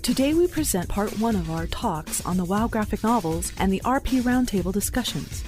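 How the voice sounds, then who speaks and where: A woman's voice: medium pitch, lively intonation, moderate pace, darkish tone, faint music behind. A woman reading a scripted podcast introduction as announcer. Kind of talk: scripted narration.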